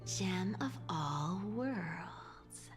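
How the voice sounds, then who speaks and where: A woman voicing a sly, playful, treasure-hungry thief in a video game, teasing and drawn-out. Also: lowish voice, expressive. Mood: teasing, greedy. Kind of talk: dramatic video game voice acting. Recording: long reverb, music behind.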